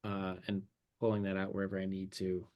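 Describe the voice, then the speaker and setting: A man's voice: low-pitched, monotone, fairly quick, dull audio. A man teaching a watercolor demonstration, thinking aloud as he paints, his voice a little worn from losing it. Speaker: painting instructor.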